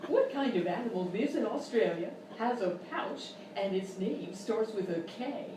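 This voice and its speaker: A woman's voice: mid-range voice, expressive, normal speed, natural voice. A woman playing an exasperated, condescending quiz show host in a live radio-style comedy sketch. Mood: mock-polite. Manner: incredulous, scolding, mock-polite. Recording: very echoey live room, far mic, noisy.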